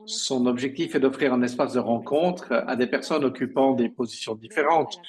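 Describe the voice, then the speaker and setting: A male simultaneous interpreter voices conference speeches as they happen. His pitch sits in the middle for a man. He speaks haltingly, stretching out pauses as he renders each phrase. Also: a little mellow.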